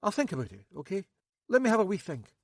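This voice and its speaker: A jittery young Scottish man, voiced by a male audiobook reader pitches high and pours out his words in a rush, loud and self-pitying. His voice swings wildly up and down. The sound is dry and close. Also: fast talker, vocal fry, no room sound, full character voice. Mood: nervous. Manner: excitable, rambling, self-pitying.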